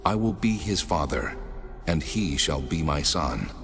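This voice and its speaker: A man voicing the Lord God in a dramatized scripture reading, solemn, measured and authoritative. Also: a bass voice, expressive, exaggerated voice. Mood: solemn, commanding. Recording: long cavernous reverb, music underneath. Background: music.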